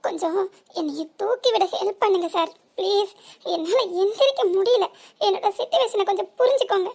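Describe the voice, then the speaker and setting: A woman's voice: very high voice, fairly quick, darkish tone. A woman voicing a wailing old granny in pain. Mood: pleading. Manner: wailing, pleading and groaning in pain. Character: old woman fallen in the road, pleading. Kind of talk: animated story dubbing.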